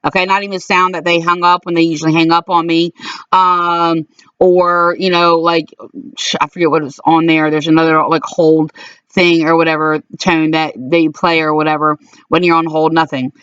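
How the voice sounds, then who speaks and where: A middle-aged woman telling of her mistreatment in a halting, aggrieved way, full of fillers and restarts. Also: sits low, flat delivery, a bit bright, clear, resonant tone, no room sound. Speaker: complainant recounting calls to police. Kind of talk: personal recorded monologue. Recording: dull lo-fi dry recording.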